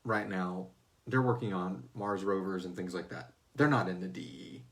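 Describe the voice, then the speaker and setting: A man's voice: deep voice, moderate pace, a bit bright. Casual American man hosting a fan Q&A. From Houston, United States. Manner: joking, informal, breaks into playful voices. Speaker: host of a fiction series fan Q&A, its writer. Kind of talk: casual livestream Q&A chat.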